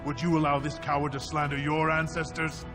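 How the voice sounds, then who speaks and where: A man's voice: on the high side, flat delivery, fairly quick, lightly acted. An actor playing a proud, haughty nobleman in a fantasy game, indignant and accusing as he defends his house. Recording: music behind the voice.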